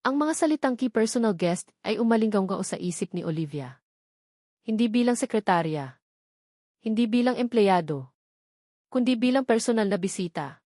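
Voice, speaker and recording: A woman narrating a romance story in a measured, dramatic storytelling manner. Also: medium pitch, expressive, mellow, no echo, clean studio sound. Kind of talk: scripted storytelling.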